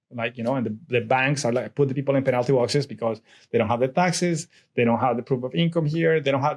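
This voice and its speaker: A middle-aged man from Colombia with a Colombian Spanish accent, a native Spanish speaker talking as an interview guest in a rambling way with many restarts and fillers. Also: medium pitch. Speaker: guest, real estate investor. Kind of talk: casual interview chat.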